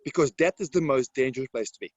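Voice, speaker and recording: A man's voice: mid-range voice, quick pace, dry recording, lo-fi sound. A man from Serbia with a Serbian accent, speaking English as a second language, answering interview questions as a confident property mentor in broken grammar. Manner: confident sales-coach delivery, broken grammar.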